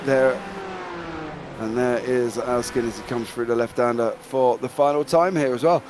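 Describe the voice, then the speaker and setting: A man with a British accent calling a live sim racing broadcast, excitable play-by-play that rattles off driver names. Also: mid-range voice, normal speed, slight background noise. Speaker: race commentator.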